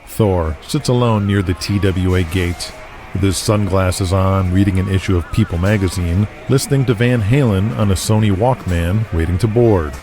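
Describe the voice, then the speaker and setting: A man's voice: low-pitched, moderate pace. A man narrating an audio drama in a dramatic, scripted storytelling style. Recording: loud music behind.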